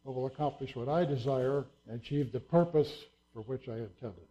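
A man's voice: medium pitch, normal speed, mellow. A man presenting at a church gathering, earnestly reading a scripture verse aloud and introducing a video. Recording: dull lo-fi sound.

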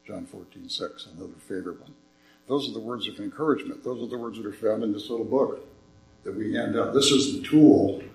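An older man speaking in a church, making an earnest, folksy appeal with a slightly hoarse voice. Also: on the low side, darkish tone, roomy sound, poor audio. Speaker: visiting ministry speaker. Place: church sanctuary.

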